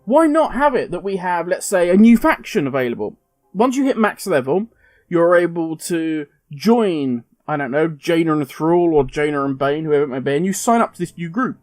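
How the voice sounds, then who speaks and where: A man, a gaming commentator, musing aloud in a casual, conversational way, thinking out loud with fillers. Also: a little high, animated, moderate pace, dry recording, soft background music. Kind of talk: casual speculative monologue.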